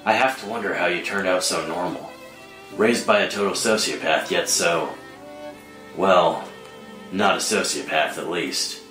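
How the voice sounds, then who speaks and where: A man playing a brooding, guarded vigilante in a screenplay table read, speaking in an understated, measured way. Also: deep voice, pushed and bright. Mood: guarded, wry. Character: Bruce, brooding guarded adult male vigilante softening in love. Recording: roomy echo under dramatic score music.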